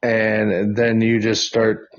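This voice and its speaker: A man's voice: fairly low pitch, completely flat delivery, slow, highs cut off. A man in his forties chatting casually with plenty of swearing. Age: 40s. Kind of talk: casual chat, profane.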